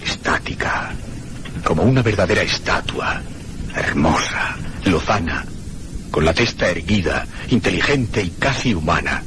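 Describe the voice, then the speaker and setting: A man playing a haunted traveller who narrates a radio mystery drama in a solemn, deliberate, suspenseful way. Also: deep voice, lively intonation, fairly bright tone, a little hiss or hum. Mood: hushed wonder. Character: haunted one-armed male traveller recounting mysteries, solemn, troubled. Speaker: narrator and protagonist.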